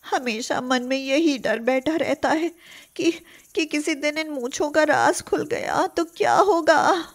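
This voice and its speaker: A woman playing a timid, anxious young daughter-in-law in an animated story, hesitant and pleading. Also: sits high, slow. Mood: worried.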